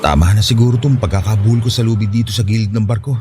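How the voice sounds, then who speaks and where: A man's voice: lowish voice, steady tone, very fast, over music, natural voice. A man playing a Filipino ship worker who misses his family, speaking sincerely and emotively in an audio drama. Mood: subdued. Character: downhearted, grateful male Filipino ship worker missing family.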